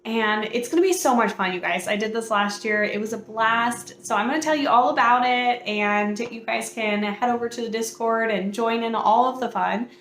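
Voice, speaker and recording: Woman, chatty book host, somewhat hoarse, echoey room, faint hum. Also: fairly high pitch, moderate pace, few pauses, fairly bright tone. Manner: bubbly, chatty, many fillers. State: hoarse from a cold. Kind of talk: casual chat to camera.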